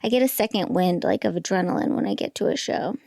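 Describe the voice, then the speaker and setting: A woman's voice: mid-range voice, fast talker, vocal fry, a little mellow, no room sound, clean studio sound. A woman in her thirties, a stand-up comic and pregnant guest, chatting casually with a small, light voice, laughing often and trailing into fillers. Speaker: guest comedian. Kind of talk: casual podcast chat.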